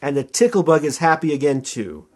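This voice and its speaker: A man reading a children's storybook aloud as storyteller, with expressive, dramatic emphasis. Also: fairly high pitch, fairly quick.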